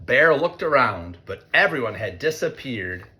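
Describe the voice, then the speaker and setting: A man reads a storybook aloud for children. His delivery is slow and very animated, with a bright, forceful tone and playful asides to the listeners. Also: mid-range voice. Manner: expressive, playful, pausing to ask listeners. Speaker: read-aloud storyteller, teacher. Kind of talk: interactive storybook narration for children.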